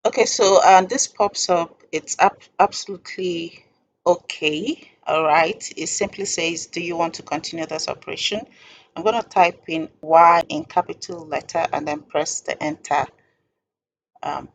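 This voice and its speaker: A woman presenting an informal step-by-step software tutorial in a halting, deliberate way. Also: fairly low pitch, slight background noise, rough recording.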